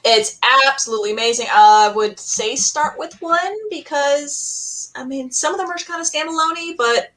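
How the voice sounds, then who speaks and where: A woman's voice: high-pitched, moderate pace, hardly any pauses, a bit bright. A woman speaking as a podcast panelist, giving recommendations in an emphatic, conversational way. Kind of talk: casual recommendation chat.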